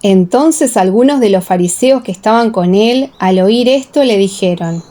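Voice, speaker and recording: A woman narrating a dramatized scripture audiobook in a solemn, measured, carefully enunciated way, with heavy reverberation. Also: medium pitch, warm tone. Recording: echoing, long reverb.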